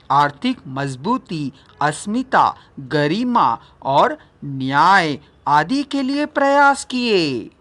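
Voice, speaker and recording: A woman narrating a non-fiction book as a scripted reading, deliberate and formal, pausing between phrases. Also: mid-range voice, fairly bright tone. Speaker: audiobook narrator.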